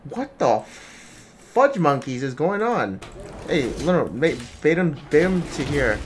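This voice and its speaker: A man's voice: on the high side, very animated, slow. A young man who streams games, chatting casually with blunt, profane banter. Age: young adult. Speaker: game streamer. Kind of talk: casual gaming chat. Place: indoor room at a desk. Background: music, game.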